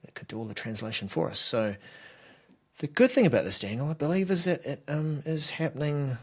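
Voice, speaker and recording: A man with a New Zealand accent co-hosting a casual tech podcast, bantering dryly and explaining features in a relaxed way. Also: a little high, brisk, breathy edge. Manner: dry, playful banter. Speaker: co-host.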